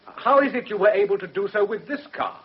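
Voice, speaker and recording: Actor as a high-voiced sceptical British barrister. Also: male voice, brisk, close to an everyday voice. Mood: challenging. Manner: polite but pointed, challenging. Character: sceptical, insinuating male defence barrister cross-examining. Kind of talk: British radio drama acting. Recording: muffled vintage radio drama recording.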